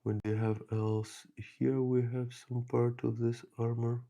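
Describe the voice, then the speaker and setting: A man from Ukraine with a Ukrainian accent, hosting a casual miniature painting stream and thinking aloud in a soft, halting way as he works. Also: mid-range voice, little pitch movement, creaky, lo-fi sound. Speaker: miniature painting channel host. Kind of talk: casual live painting chat.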